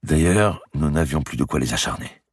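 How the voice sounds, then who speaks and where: A man's voice: a bass voice, animated, moderate pace, slightly rough, dry recording, studio quality, voice pushed up, heavily acted. A man voicing a bearded, impoverished young nobleman who speaks in a grim, resigned way.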